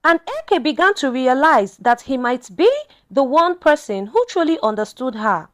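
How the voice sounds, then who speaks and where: A woman narrating a scripted folktale in a dramatic, measured storytelling manner. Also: high-pitched, expressive.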